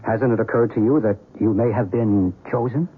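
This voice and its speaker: A man's voice: on the low side, moderate pace, slightly rough, narrow, tinny audio. An actor playing an earnest, philosophical male believer in otherworldly visitors, persuasive in old-time radio style. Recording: noisy, thin old radio recording.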